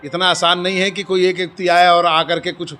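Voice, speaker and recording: A man's voice: high voice, level tone, brisk, bright, forceful tone, faint music behind. A man giving a firm, formal and emphatic statement as an organization's leader to an interviewer. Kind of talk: spoken statement to a news interviewer.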